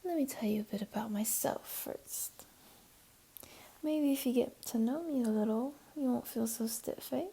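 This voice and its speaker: A woman voicing a flirty, teasing, comforting jaguar beastling in an intimate ASMR roleplay, speaking softly and coaxingly in a half-whisper. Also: fairly high pitch, normal speed, vocal fry, breathy edge. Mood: reassuring, teasing.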